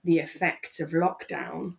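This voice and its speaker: A woman with a slightly low voice chats as a podcast co-host. She talks casually and reflectively, working out her thoughts aloud and often circling back over a phrase. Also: a little slow, creaky.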